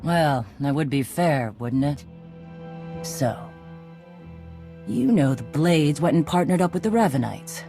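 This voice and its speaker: An actress playing a cocky, gruff Mandalorian clan leader in a video game, brash, sardonic and informal. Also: female voice, low voice, expressive, a little slow, long pauses, warm tone, heavily acted. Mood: wry, casual. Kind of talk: video game cutscene acting. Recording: game music behind the voice.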